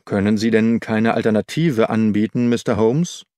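A man's voice: fairly low pitch, normal speed, mellow, dry recording, studio quality, natural voice. A man voicing an eager, blunt police inspector in an audiobook, pressing with questions. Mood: probing, curious. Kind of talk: audiobook character dialogue.

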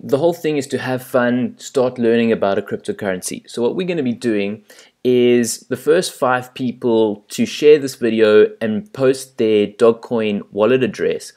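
A man's voice: medium pitch, a little mellow. A man with a South African accent, chatting casually and a little self-deprecatingly as he walks through a screen recording. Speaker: channel host.

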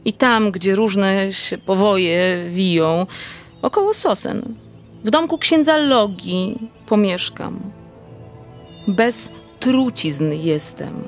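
An actress playing a lovelorn, melodramatic countess in a verse radio play, with poetic, drawn-out delivery. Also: female voice, medium pitch, lively intonation, faint music behind. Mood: exalted, hurt. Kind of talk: theatrical verse drama acting.

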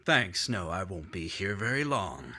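A man playing a cold, scheming killer in an old-time radio mystery, speaking with slow, low menace. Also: big pitch swings, sharp tone, exaggerated voice. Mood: menacing. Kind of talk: 1950s-style radio drama acting.

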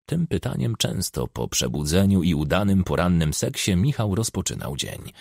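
A man narrates a crime novel for an audiobook in a low-pitched voice with a creaky edge. His pitch moves about in a lively way as he reads the scripted prose. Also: normal speed, no room sound, studio quality.

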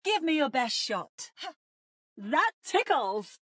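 High, slow-spoken female game robot. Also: big pitch swings, a bit bright, full character voice. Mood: battle-ready. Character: adaptive female robot mimicking other fighters' battle cries. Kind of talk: video game character voice lines.

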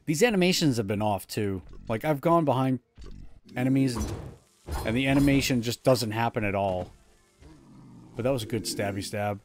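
A man's voice: mid-range voice, normal speed, pauses often, soft background music. A man in his thirties streaming a game from home, chatting casually and joking about his own play. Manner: chatty, self-mocking, restarts often. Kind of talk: casual live gameplay commentary.

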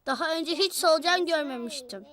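A woman's voice: sits high, a little fast, a bit bright, no echo. A woman voicing an eager five-year-old boy who narrates in simple childlike phrases for a children's show dub. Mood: curious.

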